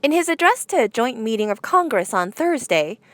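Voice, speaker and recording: A woman's voice: fairly high pitch, sing-song, moderate pace, no room sound. A woman presenting news headlines, reading from a script.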